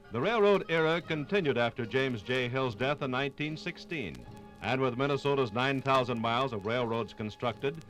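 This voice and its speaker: An American man narrates in 1950s radio documentary style, with music clearly behind him. His mid-pitched voice is formal and emphatic, building sentences like an orator. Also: fairly bright tone, low-quality recording. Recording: 1950s radio program on vinyl.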